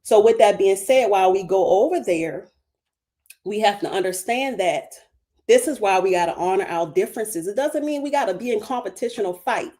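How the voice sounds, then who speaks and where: A woman's voice: mid-range voice, lively intonation, normal speed, mellow. A woman with a Baltimore accent speaking on a livestream as a spiritual guest, passionate and emphatic, building her points through repeated phrases. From Baltimore, USA. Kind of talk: livestream talk.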